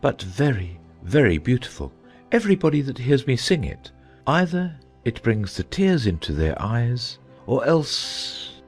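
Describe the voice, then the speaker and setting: An actor playing a gentle, foolish and fussily earnest knight in a dramatized audiobook. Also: male voice, mid-range voice, very animated, measured pace, slightly rough, faint music behind. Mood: earnest, proud.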